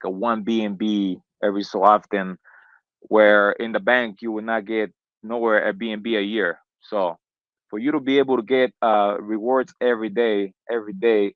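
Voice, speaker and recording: A man's voice: on the low side, steady tone, moderate pace, dry recording. A man from Honduras talking casually on an online call, rambling with many restarts and fillers. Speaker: community member on a crypto call. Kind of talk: casual chat. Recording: dull lo-fi call audio.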